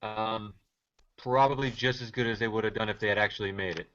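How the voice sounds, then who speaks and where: A man's voice: on the low side, fairly even intonation, brisk, fairly bright tone, highs cut off, slight background noise. A man from Cape Cod who jokes around easily and laughs a lot as he co-hosts a casual sports chat. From Cape Cod, Massachusetts. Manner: easygoing, jokey, laughs often. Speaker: co-host.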